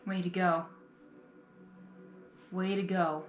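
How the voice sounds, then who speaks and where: A woman hosting a reaction video, giving casual, excited commentary as she talks back to a story. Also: on the low side, takes it slowly, dull audio, soft background music. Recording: echoey room.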